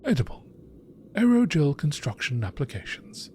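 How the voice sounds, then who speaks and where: High-pitched man narrating like a nature documentary. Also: huge pitch swings, normal speed, darkish tone, slight background noise. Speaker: narrator reading game text.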